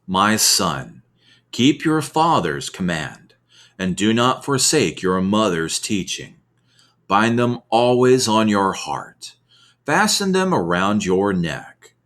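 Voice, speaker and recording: A man with a fairly low voice reads scripture in an ASMR style. He goes very slowly and deliberately, with wide swings of pitch that add emphasis to the phrases. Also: big pitch swings, a bit bright. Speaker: reader of scripture. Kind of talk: slow dramatic scripture reading, ASMR.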